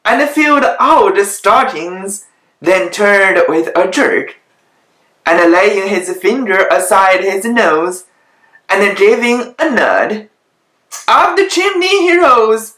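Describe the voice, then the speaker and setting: A woman giving a dramatic reading of children's verse from a picture book, pausing between phrases and adding little asides. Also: medium pitch, animated, a bit bright. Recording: echoey room.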